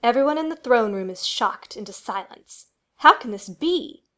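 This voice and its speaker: A woman's voice: a little high, expressive, moderate pace, a bit bright. A woman reading scripted, dramatic narration for a family radio play.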